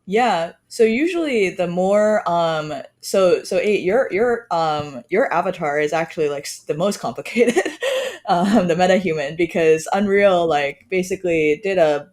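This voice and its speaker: A woman's voice: on the low side, very animated, a little slow, a little mellow. A woman, a startup co-founder, explaining casually on a podcast with many fillers and trailing phrases.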